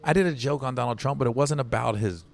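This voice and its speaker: A man's voice: medium pitch, fast, creaky. A man, a comedian guest, telling stories in casual podcast chat, acting out quotes and often checking in with "right?". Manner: animated storyteller, acts out quotes, says "right?" often.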